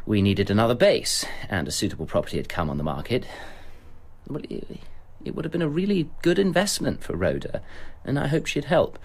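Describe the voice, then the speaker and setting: A man's voice: medium pitch, sing-song, brisk, husky. An actor playing an evasive, self-important businessman who justifies himself defensively as a suspect in a radio drama.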